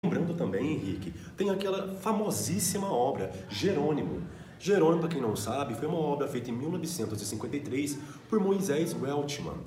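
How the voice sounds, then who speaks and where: A man's voice: on the high side, very animated, brisk, warm tone. A man with a Brazilian Portuguese accent presenting a student radio program as co-host, in a semi-scripted style. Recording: roomy echo, faint background noise.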